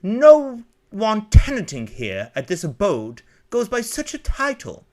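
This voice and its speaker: High-pitched, upper-class English butler. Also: male voice, huge pitch swings, measured pace, darkish tone, full character voice. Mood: prim, disdainful. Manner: formal, haughty, restrained. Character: prim, unflappable male English butler.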